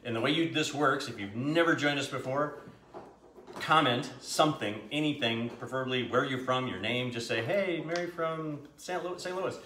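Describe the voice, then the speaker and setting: A man in his fifties co-hosting a live shopping show from his kitchen, joking around, his voice a little deep and scratchy today. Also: moderate pace, bright, forceful tone. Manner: jokey, self-mocking, chuckles often. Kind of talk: casual live-stream banter. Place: large home kitchen, indoors. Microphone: camera mic at a distance. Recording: echoey kitchen, faint background noise.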